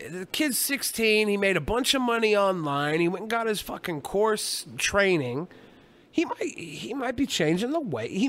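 A man's voice: high-pitched, fairly bright tone. A man co-hosting a podcast, chatting casually in a jokey, rambling, profane way. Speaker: podcast co-host.

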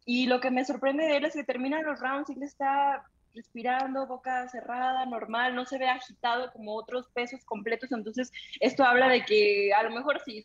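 A Mexican woman on a fight panel, breaking down a fighter's style in a steady, analytical way. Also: high-pitched, muffled recording.

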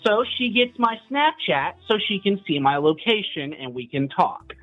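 Lively young man telling a story. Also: sits high, normal speed, phone-quality sound, faint music behind. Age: 20s. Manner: animated storytelling. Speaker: caller. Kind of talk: casual phone call.

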